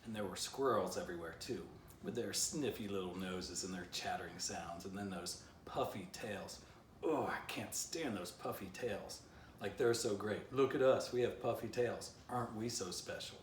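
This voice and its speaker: Man voicing an excitable, indignant storybook dog, echoey room. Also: lowish voice, moderate pace, lightly acted. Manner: melodramatic, ranting, emphatic. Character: excitable male dog, obsessively indignant about squirrels. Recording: roomy echo, distant mic, faint noise.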